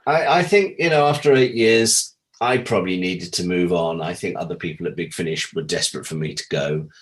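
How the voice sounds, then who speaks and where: Low-pitched man telling chatty anecdotes. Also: brisk. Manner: chatty, animated storyteller who acts out remembered conversations. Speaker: interview guest, audio drama producer and director. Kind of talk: casual anecdotal interview talk.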